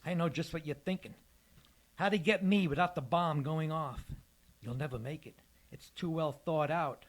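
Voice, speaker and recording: Male actor as escaped convict bomber, fairly high male voice, courteous, precise, quietly menacing, old-style radio crime drama. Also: moderate pace, close to an everyday voice. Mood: smug, cold. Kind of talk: radio detective drama acting.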